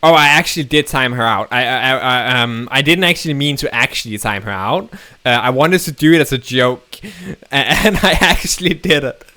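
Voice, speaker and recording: A man's voice: mid-range voice, moderate pace, bright, forceful tone. A man, an English speaker, chatting casually as a live streamer, rambling and self-deprecating with frequent restarts. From Denmark. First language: Danish.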